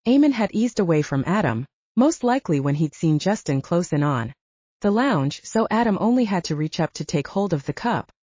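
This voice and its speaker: Dry, expressive woman narrating fiction, lowish voice. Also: moderate pace, dry recording, dull audio. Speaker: audiobook narrator. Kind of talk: scripted dramatic fiction narration.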